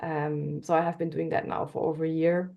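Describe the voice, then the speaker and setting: A woman's voice: low-pitched, flat delivery, gravelly, highs cut off. Dutch woman guest, chatty and laughing. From Netherlands. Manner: friendly, laughs often, quick restarts. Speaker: podcast guest, author. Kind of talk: casual interview talk.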